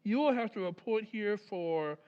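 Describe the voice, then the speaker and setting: An actor playing a smooth-talking maker of lifelike marionettes in an old-time radio drama recreation, with assured salesman's patter. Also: high voice, moderate pace, muffled recording, natural voice. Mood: suave. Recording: long reverb on stage.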